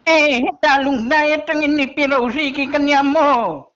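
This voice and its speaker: High-pitched, slow-talking woman. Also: a bit bright. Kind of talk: casual phone conversation. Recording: muffled, dull phone-call audio.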